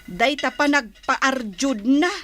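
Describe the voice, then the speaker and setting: A woman's voice: high-pitched, takes it slowly, sharp tone, a little hiss or hum, full character voice. A woman playing a nagging, critical married older sister in an Ilocano radio drama, full of scolding questions.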